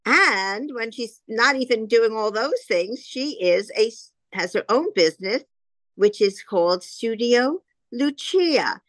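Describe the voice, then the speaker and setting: A woman's voice: on the high side, expressive, slow pace, fairly bright tone, no echo. A woman from New hosting a podcast interview with gushing, effusive praise for her guest. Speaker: podcast host, publisher.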